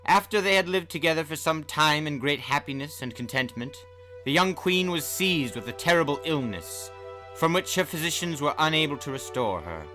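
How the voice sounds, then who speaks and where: A man hosting and narrating old tales in a dramatic storybook style, with emphatic, deliberate phrasing. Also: on the high side, expressive, pushed and bright. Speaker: podcast host and story reader. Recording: music under the voice. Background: music.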